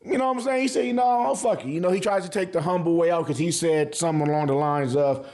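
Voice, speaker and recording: A man's voice: a little high, quick pace, darkish tone. A man giving casual, slangy video commentary as an anime reviewer, swearing freely and repeating phrases for emphasis.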